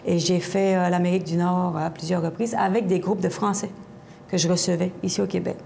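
A middle-aged woman with an Acadian French accent and a strongly gravelly, mellow voice, talking as a singer-songwriter guest. She answers in a lively, expressive way, full of fillers and restarts. Faint noise sits under the studio lapel mic. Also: lowish voice, fairly quick. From Havre-Saint-Pierre, Quebec. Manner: expressive, gestures a lot, many restarts and fillers. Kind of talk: animated TV interview answers. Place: TV studio set.

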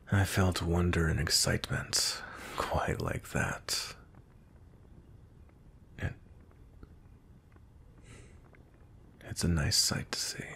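A man playing a cold, grumpy vampire knight captain in an intimate ASMR roleplay, with a breathy, husky voice and a brooding manner. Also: rumbling low, fairly bright tone, exaggerated voice. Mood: softening, tender. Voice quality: breathy, husky, often near whisper.

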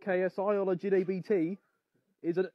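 A man's voice: sits high, fairly even intonation, moderate pace, highs cut off, faint music behind. A man in his twenties, a dating show contestant, bantering and teasing in a playful way. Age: 20s. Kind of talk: joking banter.

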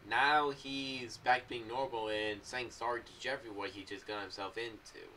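A young American man giving casual, meandering commentary to camera in a halting, loose way. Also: mid-range voice, steady tone, sharp tone, far from the mic, slight background noise. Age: young adult. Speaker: video creator giving theories on an audio drama series. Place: small room indoors. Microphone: boom-arm condenser with pop filter, off to the side.

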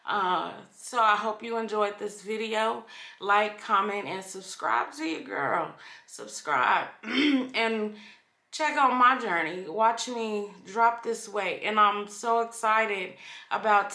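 Casting: middle-aged female vlogger, casual and unhurried, bright voice with room echo. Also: medium pitch. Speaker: vlogger, channel host. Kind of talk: casual chat to camera. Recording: roomy echo from camera microphone.

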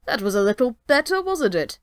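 A woman voicing a boastful, quick-witted little male tailor. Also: a little high, big pitch swings, no room sound, studio quality, pitched above the performer's own voice. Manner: cocky, bright, quick-witted.

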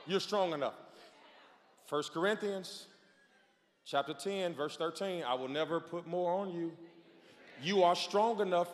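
High-pitched man preaching in a hall. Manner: shouting, call-and-response preaching. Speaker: pastor. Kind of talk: preaching a sermon. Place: large church stage. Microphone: handheld microphone. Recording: long hall reverb.

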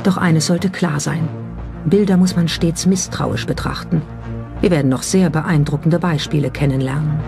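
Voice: medium pitch, normal speed, gravelly. A documentary narrator reading a scripted narration. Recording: music under the narration. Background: music.

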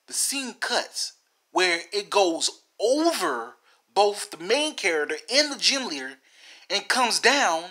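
A man's voice: high-pitched, pushed and bright. A man giving rambling video game commentary in a theatrical manner, repeating phrases and talking straight at the viewers.

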